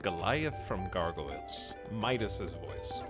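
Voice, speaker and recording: A man's voice: low voice, big pitch swings, slow. A man streaming a building game who talks casually with his chat and thinks aloud as he plays. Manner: rambling, thinking aloud while playing. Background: game music.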